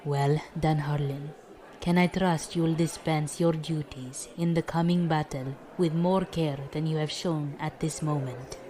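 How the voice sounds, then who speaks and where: A woman plays a stern, dignified admiral in a space audio drama. Her speech is formal and measured, every phrase fully spelled out with commanding poise. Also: low voice, fairly even intonation, dark tone. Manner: formal, measured, avoids contractions. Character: stern, dignified, loyal female fleet admiral.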